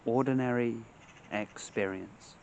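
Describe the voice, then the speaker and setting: A man leading a guided meditation in measured, short phrases separated by long pauses, speaking outdoors. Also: medium pitch, vocal fry, a little mellow, slight background noise, poor audio. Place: outdoors among trees.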